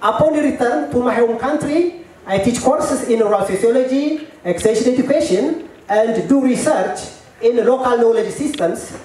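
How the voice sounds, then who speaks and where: A middle-aged Ethiopian man telling a personal story to an audience on a handheld mic, halting, with many fillers and repeated words. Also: very high-pitched, expressive, mellow. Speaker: storyteller at a work meeting. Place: large tent at an event. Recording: echoey tent, mic at a distance.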